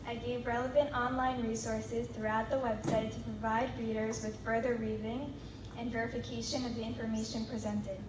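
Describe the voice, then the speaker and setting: A fifteen-year-old girl, a student, reading a prepared presentation in a steady, measured way in a school hall. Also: on the high side. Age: teens. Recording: echoey room from far off, noisy.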